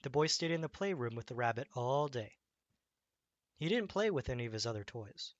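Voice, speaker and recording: A man reading a children's bedtime story aloud as an expressive storyteller. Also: mid-range voice, fairly quick, no echo, lo-fi sound.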